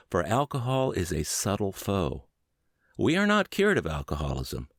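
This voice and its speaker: A man narrates an inspirational audiobook in a low voice. His intonation rises and falls in a lively way, with deliberate, emphatic phrasing. The recording is dry, with very little room sound. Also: dry recording. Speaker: podcast narrator, audiobook reader.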